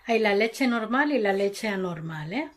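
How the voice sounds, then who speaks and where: A woman's voice: medium pitch, expressive, measured pace. A woman speaking Spanish, making playful, teasing remarks to the cook from off camera in a home kitchen. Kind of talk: joking banter.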